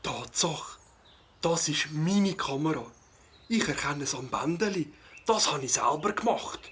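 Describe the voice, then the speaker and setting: A man playing a mild, puzzled bird-watcher in a children's audio play, with a husky voice, a fussy, deliberate manner and a Swiss German accent. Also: fairly high pitch, expressive, fairly bright tone, slight background noise. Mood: pondering. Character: mild, puzzled male bird-watcher, absorbed in his hobby.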